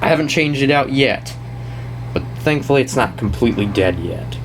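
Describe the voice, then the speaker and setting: A man hosting a casual show-and-tell, talking in a rambling, halting way and often trailing off mid-thought. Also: medium pitch, animated, noisy background. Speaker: video host and weather radio collector.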